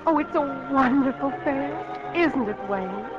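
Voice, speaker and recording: A pert, dreamy farm daughter in an old radio drama. Her voice is on the high side and moves expressively. The 1940s broadcast sound is dull. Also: expressive, measured pace, music in the background. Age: young adult.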